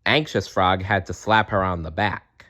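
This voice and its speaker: A man's voice: low-pitched, steady tone, normal speed, sharp tone. A man narrating a children's story read aloud. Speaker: storybook reader.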